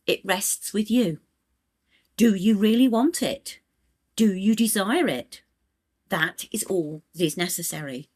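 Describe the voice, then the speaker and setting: Female audiobook narrator for spiritual text; unhurried delivery, lively rise and fall, solemn emphasis. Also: mid-range voice. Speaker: audiobook reader.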